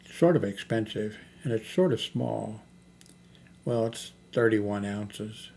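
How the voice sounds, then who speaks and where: An older man hosting a casual unscripted vlog, thinking aloud in a hesitant, halting way as he browses products. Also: lowish voice, gravelly, darkish tone. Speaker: YouTube host. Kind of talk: rambling, unscripted screen-browsing product chat. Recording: noticeable background noise, homemade recording.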